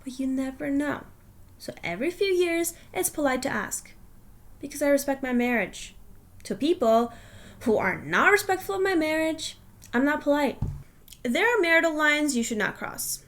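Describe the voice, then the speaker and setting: A woman reading a humorous personal essay aloud in an expressive, emphatic, mock-stern way. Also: high-pitched, normal speed.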